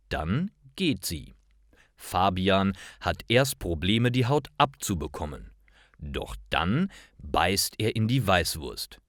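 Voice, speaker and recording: A man reading scripted short stories for language learners in a deliberate, carefully articulated and expressive way. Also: sits low, no room sound, clean studio sound. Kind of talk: scripted narration, slow storytelling for language learners.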